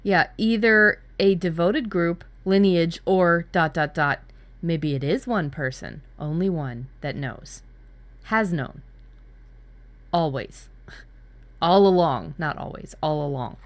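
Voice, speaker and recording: A woman with a slightly low voice co-hosts a writing workshop. She talks in a loose, informal style, recounting her own brainstorming and acting out little asides as she goes. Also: slow pace.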